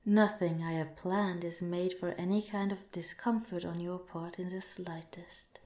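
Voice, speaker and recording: A woman voicing a calm, curious alien researcher in a softly spoken, part-whispered ASMR roleplay, with formal, measured, clinical phrasing, in an echoing recording. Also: lowish voice, close to an everyday voice. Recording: roomy, echoing voice.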